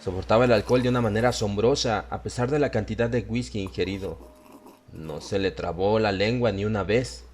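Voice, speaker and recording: A man's voice: lowish voice, brisk, a little mellow, a little hiss or hum. A man reading scripted horror fiction as an audiobook narrator.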